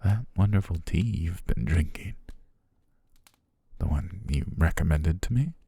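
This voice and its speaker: A man playing a doting, obsessive butler and softly menacing fiancé in intimate ASMR roleplay, tender, measured and coaxing, close to the microphone. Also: low voice, lively intonation, dry recording, full character voice. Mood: gently grave. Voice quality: breathy, husky, gravelly, often near whisper. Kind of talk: intimate ASMR roleplay, slow whispery romance acting. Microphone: close, dry studio microphone.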